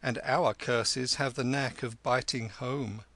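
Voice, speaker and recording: A man voicing a cocky, quick-witted boy in a storybook, with a lofty, taunting manner. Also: mid-range voice, steady tone, a bit bright, no room sound. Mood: calm taunting. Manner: taunting, loftily self-assured. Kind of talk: storybook character voice.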